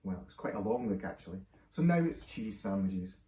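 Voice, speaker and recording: A man's voice: lowish voice, lively intonation, fairly quick, mellow, far from the mic, voice pushed down, natural voice. Man playing a practical, reassuring girl; near own voice, roomy. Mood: matter-of-fact. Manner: matter-of-fact, reassuring. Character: sensible helpful older girl. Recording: roomy echo.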